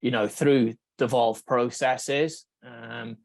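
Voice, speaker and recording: A Welsh man, a researcher, giving a conference talk in a measured way, thinking aloud with fillers and restarts. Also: medium pitch, steady tone, gravelly, no echo. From Wales. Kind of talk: conference presentation.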